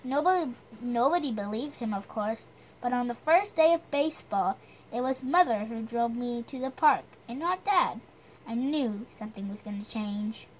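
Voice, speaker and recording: High-voiced woman reading a storybook. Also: animated, normal speed, a little hiss or hum, rough recording. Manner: chatty, self-deprecating, stumbles over words while reading. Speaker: host reading a storybook aloud. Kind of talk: storybook reading with casual asides.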